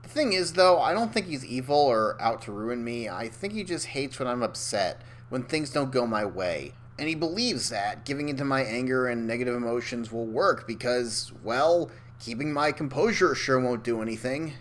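A man playing an anxious young man in an animated dark comedy, confiding in a wry, hesitant, exasperated manner. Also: medium pitch, normal speed, hardly any pauses, a little hiss or hum, natural voice. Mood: weary confiding. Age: young adult.

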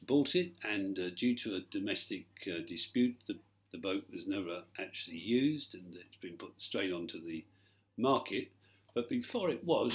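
A man narrating a semi-improvised sales tour as a broker, effusive but halting in his delivery. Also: fairly low pitch, a bit bright. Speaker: yacht broker.

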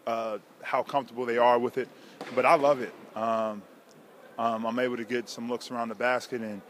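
Relaxed young basketball player, thoughtful. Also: male voice, mid-range voice, moderate pace, a little hiss or hum. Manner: relaxed, thoughtful, many fillers. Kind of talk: post-game interview. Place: indoor basketball arena.

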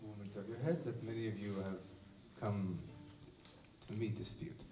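A man from Israel giving a thoughtful talk to a live audience at a museum evening, often pausing and restarting as he searches for words. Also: lowish voice, fairly even intonation, over music. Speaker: musician and lecturer. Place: museum event space with audience. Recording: echoey room heard from a distance.

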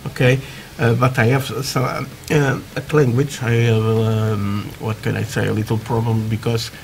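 A man from Portugal with a Portuguese accent, chatting haltingly through a hobby tutorial with many fillers, while building at a workbench. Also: mid-range voice, little pitch movement, vocal fry, slight background noise. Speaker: scale model builder. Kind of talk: casual hobby tutorial talk. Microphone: camera over work desk, hands only.

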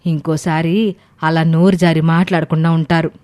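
A woman's voice: deep voice, flat delivery, moderate pace, a little mellow, natural voice. A woman playing a proud, calculating older mother in a family moral story, stubborn and complaining.

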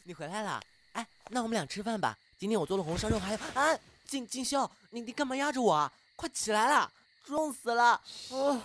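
A man's voice: very high-pitched, animated, fairly quick, few pauses, a bit bright, slight background noise. A man playing a flustered, bashful, grumbling young housemate in an audio drama. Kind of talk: Chinese fan audio drama acting.

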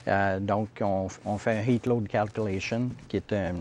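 Middle-aged male contractor, Canadian French accent, halting explanatory delivery, TV studio interview. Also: on the low side, fairly even intonation, a little mellow, slight background noise. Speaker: heating contractor interviewed as guest. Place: TV studio set, seated on sofa.

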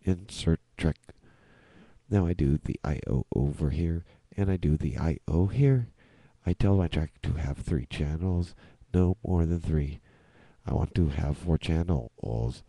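A man presenting an informal software walkthrough, playfully chanting and repeating words as he goes. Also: a bass voice, lively intonation, measured pace, breathy edge, no echo. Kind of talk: informal screen-recorded walkthrough.

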